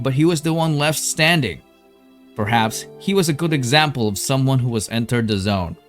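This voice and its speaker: Guy narrating a documentary from a script, mid pitch, bit of music under it. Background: background music.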